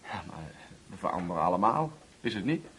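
An actor playing a polite, awkward twenty-year-old house guest in a Dutch radio play, hesitant and deferential. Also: male voice, sits low, very animated, fairly quick, a bit bright, slightly rough, full character voice. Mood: tentative, friendly.

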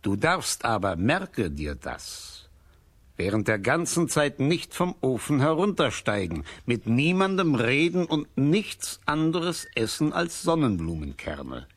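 A solemn, mysterious old man of wisdom in a children's radio play. His husky voice moves very slowly and swings expressively up and down, as if handing out destiny. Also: medium pitch. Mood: solemn, instructing. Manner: slow, deliberate, expressive, solemn.

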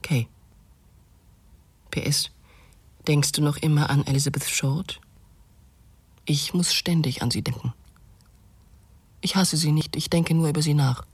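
Regretful woman in a german-style radio play letter, low breathy voice, kinda hesitant, bone dry sound. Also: fairly even intonation, unhurried, long pauses, dry recording. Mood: regretful, subdued. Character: regretful young woman writing a confessional farewell letter. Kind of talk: radio drama letter monologue.

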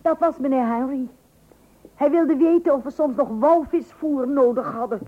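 A woman's voice: high voice, moderate pace, background noise, natural voice. An actress playing a stubbornly thrifty, teasing housewife and mother in a radio comedy, breezy and insistent. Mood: polite, firm. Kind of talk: Dutch radio comedy play acting. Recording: dull, lo-fi old radio recording.

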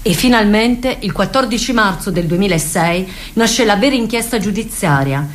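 A woman with a medium-pitched voice narrates a documentary drama. Her delivery is scripted, in the manner of radio theatre. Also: expressive, moderate pace. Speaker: actor-narrator.

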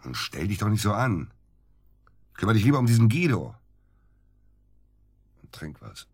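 A man acting in a German radio drama as an evasive, dejected doctor under suspicion, husky and halting. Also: lowish voice, very animated, dry recording, studio quality, full character voice. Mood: insistent. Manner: halting, hesitant, defensive.

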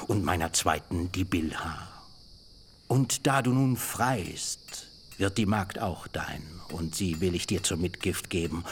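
A scheming, self-important older uncle in a radio drama, his voice very deep and his delivery slow and heavy. He haggles, grows solemn and sinks into whispers, with crickets chirping faintly at night. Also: a little hiss or hum. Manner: bargaining, solemn, swinging between loud and whispered. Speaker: actor in a radio play. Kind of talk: German radio drama acting.

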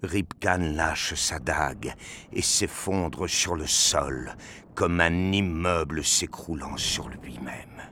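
A man narrating a scripted action story with deliberate, intense dramatic delivery. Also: very deep voice, bright, forceful tone, a little hiss or hum. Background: prominent music.

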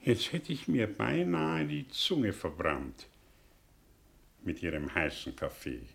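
A sly, probing professor in a radio play. His slightly low voice swings wildly from line to line, needling and mocking with theatrical emphasis. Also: huge pitch swings, slow, vocal fry, fairly bright tone, full character voice. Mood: wary. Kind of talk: radio drama acting.

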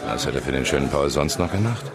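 An actor playing a brash, seasoned crime reporter in a radio drama, curt and wry. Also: male voice, rumbling low, expressive, quick pace, a crowd in the background. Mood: cool, inquisitive. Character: brash, seasoned male crime reporter mentoring his trainee. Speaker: newspaper reporter.

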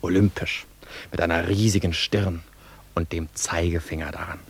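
An actor playing a pompous, earnest and easily affronted young admirer in a theatrical, florid German radio drama style. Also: male voice, low voice, steady tone, normal speed, gravelly, breathy edge, full character voice. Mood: scornful musing. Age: young adult.

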